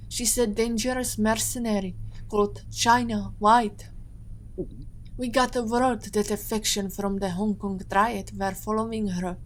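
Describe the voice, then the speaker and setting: A woman playing a composed, guarded captive agent in an audio drama, with measured and formal phrasing. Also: mid-range voice, a little hiss or hum, natural voice, low-quality recording.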